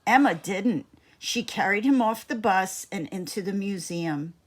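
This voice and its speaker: A woman reading a children's story aloud in an expressive, deliberate narrating style. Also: mid-range voice. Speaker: storybook reader.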